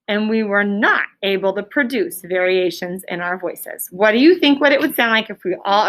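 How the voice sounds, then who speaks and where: A woman leading a classroom discussion as a teacher, in an animated, encouraging, prompting manner. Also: mid-range voice.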